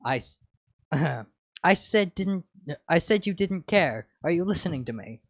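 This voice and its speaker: A man's voice: high-pitched, lively intonation, measured pace, no room sound, studio quality. A man co-hosting an informal fan fiction reading, mixing casual chat with amateur reading aloud.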